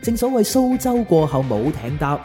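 A woman's voice: low-pitched, very animated, normal speed, mellow, faint music behind. A woman, narrating a scripted radio drama as a storyteller.

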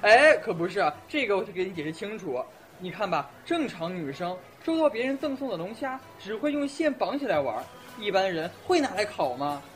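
A woman's voice: medium pitch, very animated, brisk, few pauses, close to an everyday voice. A woman acting an exasperated, dramatic teenage classmate in an audio drama, scolding theatrically. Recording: music playing behind.

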